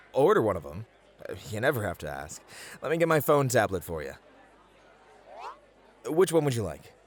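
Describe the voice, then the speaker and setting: Man acting a bashful, animated bunny club host. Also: mid-range voice. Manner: stammering, bashful, polite, accommodating. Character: flustered, eager, sweet male bunny club host. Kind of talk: ASMR roleplay acting.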